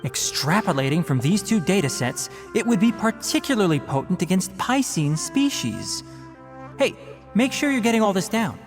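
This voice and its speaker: A brainy young forest ranger dude, kinda high voice, lively, lectures precisely, soft music behind. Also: male voice, normal speed. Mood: lecturing. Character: young male fox-eared forest ranger, scholarly, stern. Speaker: forest watcher. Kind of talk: game cutscene voice acting.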